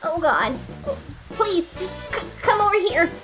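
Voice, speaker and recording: A woman voicing a nervous, flustered lizard-like female scientist who stammers in a high, nasal whine. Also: very animated, a little slow, over music, heavily acted, poor audio. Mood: panicked. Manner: stuttering, flustered.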